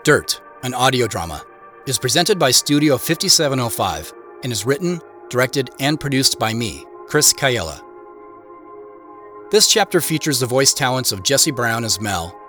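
Bright male voice reading podcast credits. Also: medium pitch. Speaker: writer, director and host of an audio drama. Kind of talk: scripted credits read.

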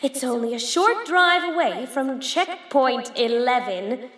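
Cheesy theme park ad lady, super bright voice, slow dramatic salesy delivery, parody radio spot w echo. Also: sits high, animated. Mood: peppy sales pitch. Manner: theatrical, drawn-out, salesy. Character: over-the-top cheery theme park advertisement announcer.

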